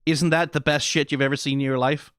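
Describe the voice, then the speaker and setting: A man giving off-the-cuff reaction commentary, informal and emphatic with casual swearing, rambling and halting as if short on sleep. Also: a little high, little pitch movement, fairly bright tone, no room sound, clean studio sound. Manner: rambling, halting, trailing off mid-thought. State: tired after being awake for twenty hours. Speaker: host of a reaction video.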